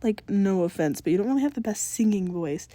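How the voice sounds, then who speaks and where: A woman voicing a skeptical, wisecracking teenage boy in fan fiction, flat and disbelieving. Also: mid-range voice, a little fast, no room sound, close to an everyday voice. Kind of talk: acted fan fiction dialogue.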